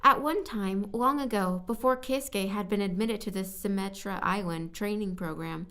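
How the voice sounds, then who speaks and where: A woman recording scripted story narration as a voice actor, halting at times and repeating lines. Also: medium pitch, fairly bright tone. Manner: halting, stumbling over words and repeating takes.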